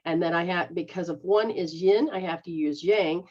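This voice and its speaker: A woman's voice: fairly low pitch, moderate pace, darkish tone, muffled recording. A middle-aged woman, a metaphysical practitioner, explaining healing techniques in a rambling, casual way and checking that she is understood. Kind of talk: casual instructional chat.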